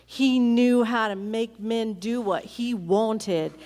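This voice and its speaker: A woman's voice: on the high side, takes it slowly, a little mellow. A woman playing a proud, bitter outlaw widow with a Texan accent in a live western radio play. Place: large performing arts hall, on stage. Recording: echoey hall, live stage performance.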